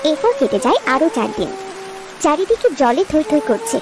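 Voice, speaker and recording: A woman's voice: high-pitched, very animated, moderate pace, over music. A woman narrating a scripted village moral story for a cartoon.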